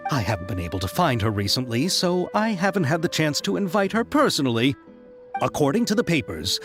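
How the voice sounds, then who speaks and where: An actor playing a polite, earnest and excitable male film producer in a video game, speaking courteously. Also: on the high side.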